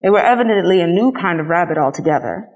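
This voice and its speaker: A woman reading a children's story aloud as a scripted narration, in an expressive storytelling manner. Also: fairly low pitch, fast, rough recording. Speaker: storybook reader.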